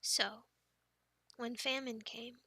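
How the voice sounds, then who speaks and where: Casting: actress as young female friend in ASMR roleplay, high-pitched, expressive storyteller, close dry sound. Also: takes it slowly, no echo, exaggerated voice. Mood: hushed, solemn. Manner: expressive, teasing, breaking into breathy near-whispers. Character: playful young woman friend, pouty, defensive, telling campfire stories.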